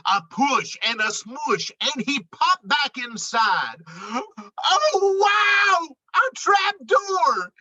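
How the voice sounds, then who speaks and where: A woman reading a children's book aloud in an expressive, dramatic and deliberate storytelling style. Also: medium pitch, poor audio.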